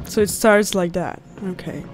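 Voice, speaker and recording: A young woman giving casual, excited and reactive let's play commentary, close on a studio mic. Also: fairly low pitch, moderate pace, music in the background. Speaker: gamer, host of a let's play series. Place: indoors, close at a desk. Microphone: studio mic on a boom arm, headset on. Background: faint game soundtrack.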